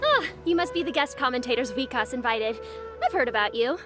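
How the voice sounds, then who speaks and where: A woman's voice: high-pitched, lively intonation, a little fast, music in the background. An actress playing a polite, eager female event organizer who explains duties in a courteous, businesslike way, in video game dialogue. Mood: welcoming.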